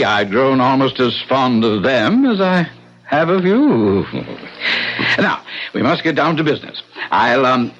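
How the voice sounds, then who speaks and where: An actor playing a genteel older landlord in a 1970s radio drama, fussily polite and ingratiating with a faintly sinister edge and sly, hesitant, mannered phrasing. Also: male voice, mid-range voice, fairly bright tone, slightly rough, full character voice. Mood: gushing, faintly sinister. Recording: muffled 1970s radio broadcast recording.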